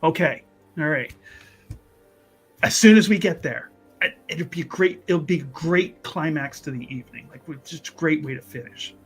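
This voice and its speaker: A high-pitched voice pushes and hypes the group as a brash party ringleader acted by a man at a game table. He is cocky and bossy, with casual swearing in a tabletop fantasy game over faint music. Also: normal speed, slightly rough. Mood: bossy, secretive. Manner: pushy, hyping, casual swearing.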